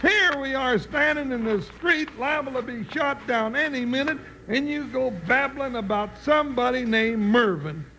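An actor playing an excitable comic American cowboy sidekick who grumbles in exasperation, in an old radio western. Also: male voice, very high-pitched, moderate pace, gravelly, few pauses, exaggerated voice. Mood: exasperated. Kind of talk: 1950s radio western acting. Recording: slightly noisy old-time radio recording.

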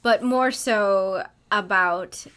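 A woman's voice: mid-range voice, very slow, a bit bright. A woman co-hosting a home podcast in casual chat, chatty and self-mocking, recorded on a shared desk mic. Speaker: podcast co-host. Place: home room, sitting opposite her partner. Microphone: shared USB desk mic, bidirectional.

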